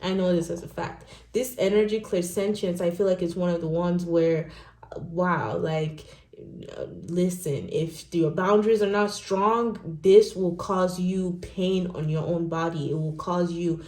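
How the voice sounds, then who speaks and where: A woman's voice: fairly low pitch, unhurried. A woman from Nigeria, speaking English as a second language, giving a casual tarot reading to viewers in a chatty way, often tagging her sentences with "okay?" and "right?". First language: not English. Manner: chatty, animated, many "okay?" and "right?" tags. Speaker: tarot reader. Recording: roomy echo.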